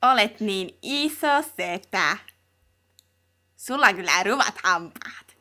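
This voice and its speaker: A woman's voice: mid-range voice, expressive, bright, forceful tone. A woman voicing a blunt, innocent little girl in a comedy cartoon dub, with a naive, childlike way of talking.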